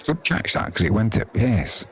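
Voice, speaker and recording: A low-voiced English man hosts an informal live sports stream. He talks in a chatty, colloquial way and readily pokes fun at himself. A light crowd sound runs behind his voice. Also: big pitch swings, normal speed. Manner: chatty, self-deprecating, colloquial. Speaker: host and cricket commentator on a live stream. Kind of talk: informal sports commentary and chat to viewers.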